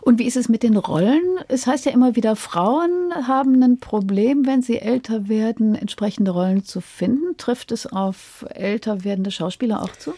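A woman with a soft, dark tone hosts a radio interview. She asks her questions in a measured, polite, articulate way, recorded close and dry in a studio. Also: a little high, dry recording.

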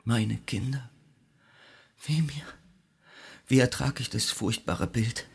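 An actor playing an anguished Greek hero in a theatrical radio drama, husky and gravelly, halting and declamatory between whispers and cries. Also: male voice, medium pitch, full character voice. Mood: horrified.

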